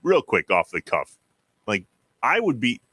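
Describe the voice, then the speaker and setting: Bright-voiced American podcast host, dry sound. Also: male voice, mid-range voice, huge pitch swings, a little slow, breathy edge, no room sound. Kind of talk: casual interviewing and chat.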